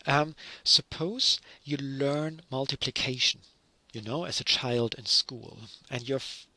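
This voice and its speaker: A man's voice: medium pitch, sharp tone, dry recording. A middle-aged man from Germany with a German accent, giving a recorded university lecture and explaining with many fillers and self-corrections.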